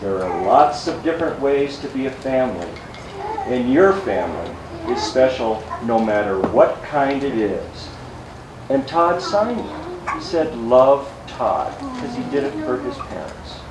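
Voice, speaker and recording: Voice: medium pitch, big pitch swings. A storyteller reading aloud to young children in a playful way, drawing out contrasts. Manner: slow, playful, exaggerated contrasts for young listeners. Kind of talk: animated children's read-aloud. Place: roomy public library story-time space. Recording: noisy echoey room, distant mic.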